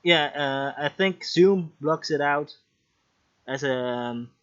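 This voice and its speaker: A man hosts a casual live stream over a video call, his voice a touch high. He talks in a chatty, joking way, half distracted by technical trouble. The audio is slightly muffled and lo-fi. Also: slow pace, fairly bright tone. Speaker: host.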